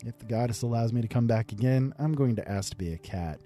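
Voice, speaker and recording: A man's voice: medium pitch, lively intonation, fast, warm tone, faint music behind. A man reading a first-person fantasy story aloud in a wry, rueful way.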